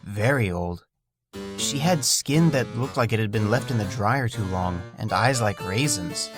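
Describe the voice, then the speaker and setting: Gentle male storyteller, soft music. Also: fairly low pitch, lively intonation, unhurried. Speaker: narrator. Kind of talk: scripted fiction narration.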